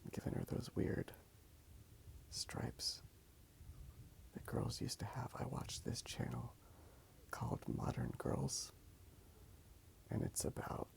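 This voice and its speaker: A man whispers in a very creaky, gravelly voice at a middling pitch. He rambles softly and casually, in the manner of an ASMR video made while drawing. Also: little pitch movement, takes it slowly, long pauses.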